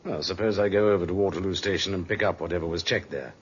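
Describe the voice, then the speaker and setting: A man's voice: low-pitched, fast, lightly acted. An actor playing a polite, astute male private detective in a 1950s radio drama, deferential and a little wry. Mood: politely awkward. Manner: deferential, slightly hesitant, wry. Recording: muffled 1950s radio recording, faint noise.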